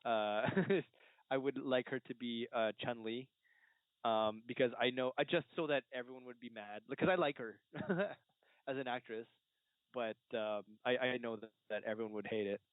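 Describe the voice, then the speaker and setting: A man hosts a casual, jokey discussion and laughs readily. His voice sits at a middling pitch. It comes through dry and thin, like a narrow phone-like online call. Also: moderate pace, no room sound. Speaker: podcast host. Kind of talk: casual chat, film discussion. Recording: tinny, dry, band-limited call audio.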